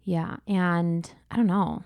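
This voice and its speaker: A woman in her thirties co-hosts a casual podcast, candid and quick to laugh. Her voice has a gravelly, fry-laced edge, and the sound is close and dry. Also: lowish voice, fairly even intonation, a little mellow, dry recording. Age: 30s. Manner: laughs often, chatty and candid. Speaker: podcast co-host.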